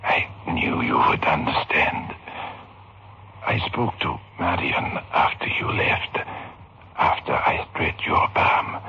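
An ominous, enfeebled palm reader in radio suspense. The voice is very low and drawn out, whispery and halting. The recording is dull and slightly noisy. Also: male voice, pushed and bright, full character voice. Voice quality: breathy, whispery, weak. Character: ominous dying male palm reader, lovelorn rival. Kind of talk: 1960s radio suspense acting. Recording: muffled old radio with faint noise.

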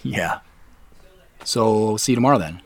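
An actor playing an anxious, stubborn amateur investigator in a naturalistic audio drama, speaking in an earnest, hesitant, conversational way. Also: male voice, fairly low pitch, a little slow. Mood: polite, hesitant.

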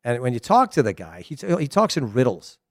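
A middle-aged man with a Southern American accent, hosting a casual soccer talk show, chatty and animated with frequent laughs. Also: medium pitch, brisk, no room sound, studio quality. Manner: chatty, animated, laughs often, loose restarts. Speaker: soccer podcast host. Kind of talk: casual solo commentary.

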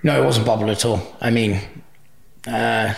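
A man's voice: medium pitch, fairly even intonation, moderate pace, gravelly. A middle-aged man from Cardiff with a Welsh accent, a Welsh first-language speaker, talking casually as an interview guest in a rambling, easygoing way with many restarts. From Wales.

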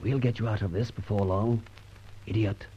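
Suave, husky gentleman thief, dull sound. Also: on the low side, fairly even intonation, normal speed. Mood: breezy, commanding. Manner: breezy, witty, upper-class turns of phrase. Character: suave gentleman adventurer thief, cool, jaunty and commanding. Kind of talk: old radio drama acting. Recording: muffled old radio recording.

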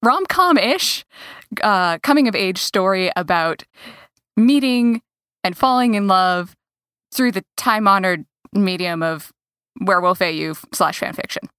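A woman's voice: mid-range voice, expressive, measured pace, a bit bright, clean tone, dry recording, clean studio sound. A woman interviewing on a podcast in a casual, conversational way.

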